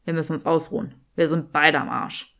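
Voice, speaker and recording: A sarcastic young man from a fantasy audiobook, acted out by a woman. He is grumpy and dry, with sardonic jabs in a lowered voice. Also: steady tone, fairly quick, gravelly, a bit bright. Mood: dry, weary.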